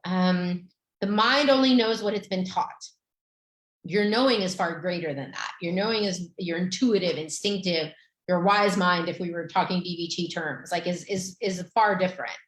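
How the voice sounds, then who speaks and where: A middle-aged woman, a Romanian native speaker, hosting a podcast as a therapist, gently guiding listeners through a tapping exercise with many fillers. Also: on the low side, normal speed, roomy sound, poor audio.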